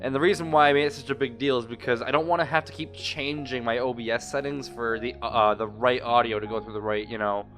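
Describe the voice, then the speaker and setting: A man's voice: mid-range voice, steady tone, quick pace, few pauses, fairly bright tone. A man giving casual let's play commentary, rambling and often rephrasing as he loses his thread. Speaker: gaming video host. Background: music.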